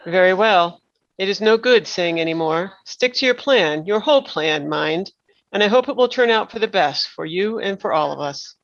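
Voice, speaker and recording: A woman's voice: mid-range voice, expressive, normal speed, lightly acted. Grave, kindly old male wizard for a storybook reading, played by a woman; dull, lo-fi sound. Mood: grave, measured. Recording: dull lo-fi microphone.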